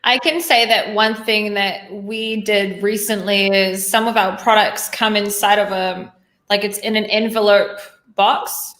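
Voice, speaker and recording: A woman's voice: mid-range voice, fairly even intonation, a little slow, fairly bright tone. Chatty New woman in an echoey room. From New Zealand. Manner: talkative, rambling, self-correcting. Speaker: host. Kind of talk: casual interview chat.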